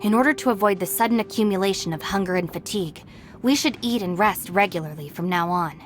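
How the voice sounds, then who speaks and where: An earnest young woman played by an actress with a mid-pitched voice. She sounds responsible and a little weary, in anime game style, with music behind her. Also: fast, natural voice. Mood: worried, weary.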